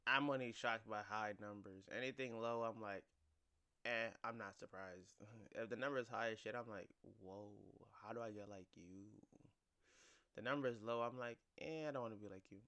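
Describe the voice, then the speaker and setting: A man's voice: lowish voice, normal speed, bright, forceful tone, muffled recording. A man hosting a reaction video, giving blunt, profane and incredulous casual commentary.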